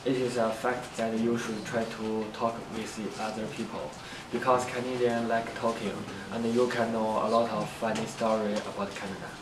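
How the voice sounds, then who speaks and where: Low-voiced man, noisy echoey room. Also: level tone, moderate pace, few pauses, recorded from a distance. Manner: recites short prepared statements. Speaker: international student.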